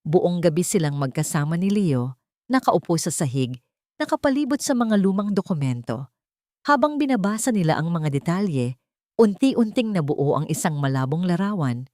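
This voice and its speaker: A woman narrates a dramatic scripted story in a low-pitched voice. Her delivery is earnest and theatrical, and the recording is close and dry. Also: sing-song, moderate pace, a little mellow, dry recording.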